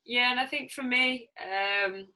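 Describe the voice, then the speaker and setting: A woman's voice: fairly high pitch, a little slow, pushed and bright. A woman, a footballer answering interview questions in a friendly, upbeat way. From Leeds, England. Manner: friendly, upbeat, fills with "um" and "yeah". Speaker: professional footballer, guest. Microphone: video call.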